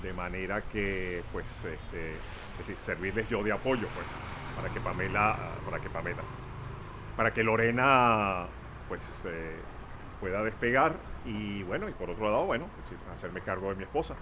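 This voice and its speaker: Older man, sixties, low voice, hesitant, many fillers, faint street traffic. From Venezuela. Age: 60s. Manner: hesitant, halting, heavy on fillers. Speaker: interviewee, father. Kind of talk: documentary interview. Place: outdoors at a city street corner.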